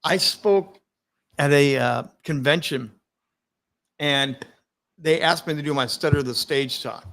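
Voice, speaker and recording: A man hosting a live-stream conversation, talking in an energetic, emphatic way, laughing often and speaking openly about his stutter. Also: on the high side, takes it slowly, vocal fry, long pauses. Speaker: host.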